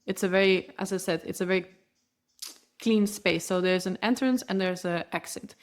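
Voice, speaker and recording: A woman's voice: mid-range voice, steady tone, moderate pace. Spanish-native woman explaining spiritual practice. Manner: earnest, flowing explanation with frequent restarts. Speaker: spiritual guide, interview guest. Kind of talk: podcast interview.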